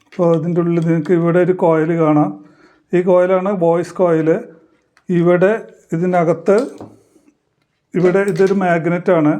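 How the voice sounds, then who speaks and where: A man explaining a hands-on demonstration in halting, short phrases, like a tutorial presenter. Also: high-pitched, little pitch movement, mellow.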